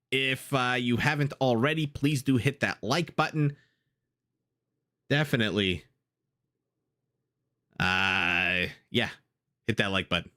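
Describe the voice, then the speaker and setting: Raspy-voiced man casting a strategy game. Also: fairly low pitch. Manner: excitable, laughing, with frequent fillers. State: raspy, dry throat. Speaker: game caster. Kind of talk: live game commentary. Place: home room.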